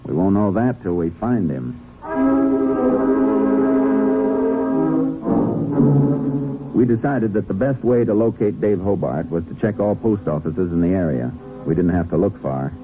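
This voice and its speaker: An American actor playing a calm, methodical Texas Ranger in a 1950s radio western, terse, matter-of-fact and probing. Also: male voice, sits low, moderate pace, music in the background. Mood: narrating evenly. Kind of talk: 1950s radio western crime drama acting. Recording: muffled, narrow-band 1950s radio recording.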